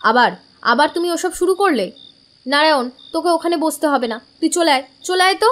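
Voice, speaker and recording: A woman's voice: high voice, animated, a little fast, a bit bright. A woman playing a protective village aunt in an audio drama, fussing and nagging. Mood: annoyed, urgent. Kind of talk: Bengali audio drama acting.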